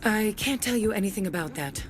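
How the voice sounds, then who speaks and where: Woman playing jaded security officer in a space game, medium pitch, terse, sardonic, kinda resentful, bit of noise. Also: lively intonation, brisk, lightly acted. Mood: guarded, jaded. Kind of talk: video game voice acting.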